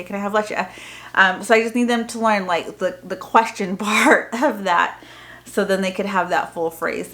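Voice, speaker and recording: A woman's voice: mid-range voice, fairly bright tone. Chatty woman vlogger, informal explainer. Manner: chatty, informal explaining with loose, trailing sentences. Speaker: homeschooling vlogger. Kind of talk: casual unboxing review to viewers.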